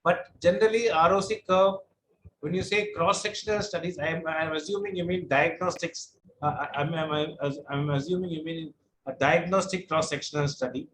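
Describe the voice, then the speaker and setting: A man's voice: a little high, steady tone, normal speed, a bit bright, a little hiss or hum, rough recording. A middle-aged man lecturing online with a droning voice, answering questions patiently and explaining step by step. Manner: patient, explanatory, some repetition.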